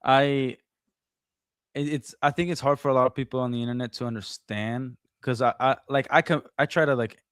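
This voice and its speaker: A man's voice: mid-range voice, steady tone, fairly quick, fairly bright tone, smooth, dry recording, clean studio sound. A man from Brazil whose first language is Portuguese, a guest in a casual, open, chatty conversation on a video call. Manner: open, chatty, laughs easily, trails off and restarts. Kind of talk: casual chat.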